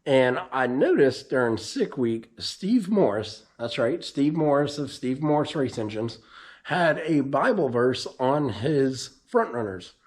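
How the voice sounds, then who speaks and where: Guy w a hoarse worn-out voice, lots of ums, chatting to his viewers. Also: medium pitch, sing-song, measured pace, a little mellow. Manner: rambling, with frequent ums and restarts. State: losing his voice, hoarse from allergies. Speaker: drag racer and vlogger. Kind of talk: casual vlog update.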